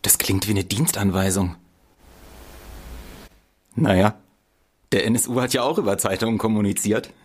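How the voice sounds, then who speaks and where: An actor playing an inquisitive, sceptical journalist in a political radio play, with a probing, wry manner. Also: male voice, lowish voice, steady tone, moderate pace, pauses often, breathy edge.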